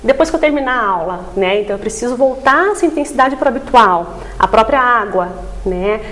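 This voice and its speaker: Higher-voiced woman explaining didactically. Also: animated, a little fast, fairly bright tone, poor audio. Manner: didactic, frequent tag questions seeking agreement. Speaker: voice therapist guest. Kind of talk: explanatory TV interview.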